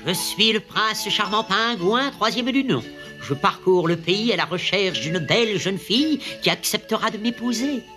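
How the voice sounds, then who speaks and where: A woman's voice: mid-range voice, animated, moderate pace, lightly acted. Lady doing a fancy penguin prince, pompous n gallant cartoon voice, music behind. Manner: formal, pompous, courtly. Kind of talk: French cartoon dub acting.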